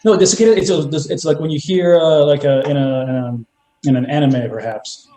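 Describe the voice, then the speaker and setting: A slightly high-voiced man leads the group chat as its host, joking and rambling with plenty of fillers. The room around him rings with echo. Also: fairly quick, dark tone. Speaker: podcast host asking the group questions. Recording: echoey room.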